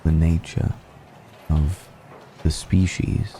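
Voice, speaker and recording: A man playing a suave, ancient aristocratic vampire in an intimate ASMR roleplay, musing slowly and deliberately with long pauses. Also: little pitch movement, dark tone, slight background noise, exaggerated voice. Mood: musing, aloof. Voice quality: very deep, gravelly.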